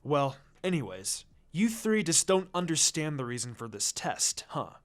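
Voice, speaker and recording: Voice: mid-range voice, sing-song, a little slow. A narrator voicing a stern, serious male ninja teacher who lectures, in a story reading.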